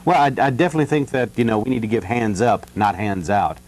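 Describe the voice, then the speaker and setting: An American man in a casual phone conversation, talking in a halting, rambling way with frequent "you know". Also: on the low side, a little mellow, a little hiss or hum. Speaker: city council candidate on a phone call. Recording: telephone call.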